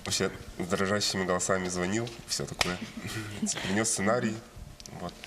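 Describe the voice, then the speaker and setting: A film director speaking haltingly in a TV interview, self-deprecating with small laughs. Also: male voice, sits low, fairly even intonation, heavy background noise.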